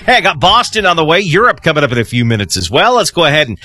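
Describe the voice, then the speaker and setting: A man hosting a morning rock radio show with quick, upbeat station talk. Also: on the high side, sing-song, pushed and bright.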